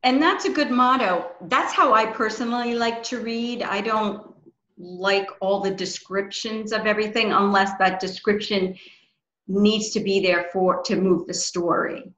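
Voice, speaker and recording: A woman's voice: mid-range voice, unhurried, fairly bright tone. A middle-aged woman asking questions in a casual interview over a dull, echoey video call. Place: small room, indoors. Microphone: video call, computer microphone.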